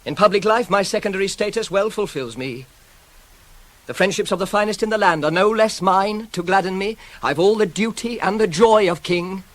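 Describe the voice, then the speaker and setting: Man acting a proud young prince, higher-pitched voice. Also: natural voice, poor audio. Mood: indignant, proud. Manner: declamatory, measured, formal. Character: proud young prince, self-controlled, wronged and accused son. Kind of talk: classical verse tragedy radio acting.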